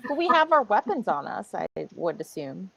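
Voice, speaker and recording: Creaky, husky, very animated woman. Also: medium pitch, moderate pace, no echo, low-quality recording. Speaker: player in a tabletop game. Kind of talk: casual table talk.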